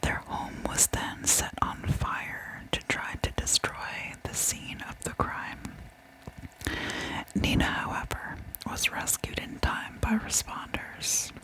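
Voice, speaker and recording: A Canadian woman narrating true crime as ASMR in a breathy whisper, with informal asides to listeners. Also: takes it slowly, sharp tone, low-quality recording.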